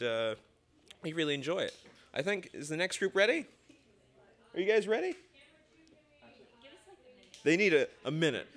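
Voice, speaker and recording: A twenty-year-old man ad-libs as host of a student show at a studio microphone. His voice is fairly high and bright, and he rambles with self-deprecating jokes. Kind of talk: ad-libbed emceeing with jokes. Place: small studio. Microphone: standing microphone.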